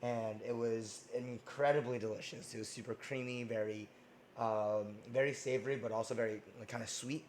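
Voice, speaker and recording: A man hosts a cooking stream in a relaxed, rambling way, full of ums and restarts. His voice is hoarse and sits at an ordinary middle pitch. A faint room hum runs underneath. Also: moderate pace. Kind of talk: casual cooking stream chat.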